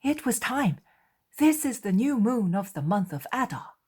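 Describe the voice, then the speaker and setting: A man's voice: extremely high pitch, lively intonation, a little slow, fairly bright tone, slightly rough, voice pushed up, full character voice. A woman voicing Andrew, an earnest adult male disciple who asks questions in a raised, inquiring voice.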